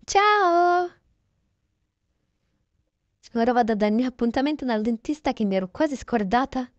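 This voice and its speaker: High-pitched chatty woman, dull recording. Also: lively intonation, unhurried, no room sound. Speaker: narrator voicing a toy-game roleplay video. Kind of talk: casual improvised roleplay narration of a daily routine.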